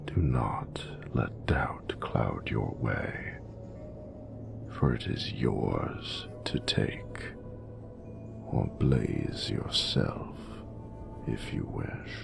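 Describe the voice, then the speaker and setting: A man voicing an ancient, regal dragon in a romantic audio roleplay, doting and flirtatious, with gravelly, breathy, often near-whispered, grandiose and formal speech. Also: a bass voice, sing-song, music in the background, heavily acted. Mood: tender, doting. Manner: grandiose, formal, measured phrasing. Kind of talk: romantic audio roleplay, slow intimate monologue.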